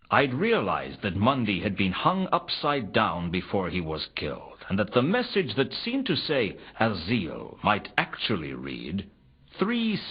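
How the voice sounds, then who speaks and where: A man playing a cynical private detective in a fantasy noir video game, with a husky voice and a deadpan, world-weary delivery. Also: lowish voice, exaggerated voice. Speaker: game protagonist and narrator. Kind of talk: hardboiled noir game narration. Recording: dull lo-fi game audio.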